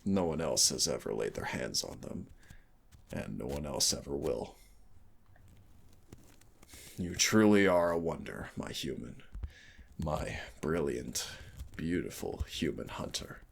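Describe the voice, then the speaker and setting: A man playing a teasing, lovestruck winged fire demon in an intimate audio roleplay, with a deep, husky, gravelly voice. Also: expressive, takes it slowly, pauses often, a little hiss or hum, full character voice. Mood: adoring, seductive. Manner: intimate, teasing, addresses listener as darling.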